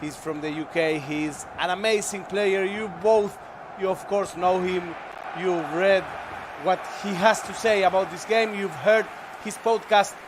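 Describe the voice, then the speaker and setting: A man's voice: high voice, steady tone, crowd noise, background noise. Enthusiastic Argentine man commentating esports. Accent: Argentine Spanish. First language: Spanish. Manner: enthusiastic, halting English with restarts.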